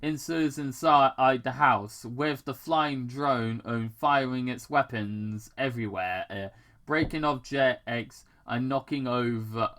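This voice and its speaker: An amateur reader narrating fan fiction aloud in a halting way, stumbling and repeating words. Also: mid-range voice, a bit bright. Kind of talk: amateur story read-aloud.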